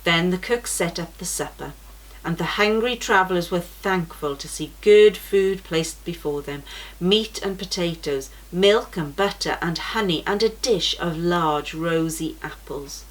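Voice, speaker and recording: A woman reading a children's storybook aloud in a gentle, measured way. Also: on the low side, poor audio.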